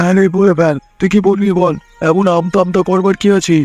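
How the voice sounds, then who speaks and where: Man playing a high-pitched indignant village husband. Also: flat delivery, a little fast, a little mellow, faint music behind, close to an everyday voice. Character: honest, indignant village husband and elder brother. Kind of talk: cartoon fairy tale voice acting.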